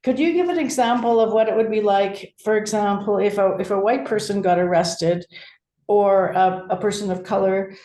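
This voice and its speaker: A woman hosting an interview, asking gentle, probing questions attentively. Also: medium pitch, moderate pace, a little hiss or hum. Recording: echoey room sound.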